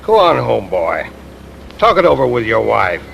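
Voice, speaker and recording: An actor playing a suspicious, bullying neighborhood cop in a 1950s radio drama, sardonic and accusatory. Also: male voice, lowish voice, wildly swooping pitch, moderate pace, gravelly, fairly bright tone, full character voice. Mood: needling. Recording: noisy vintage radio recording.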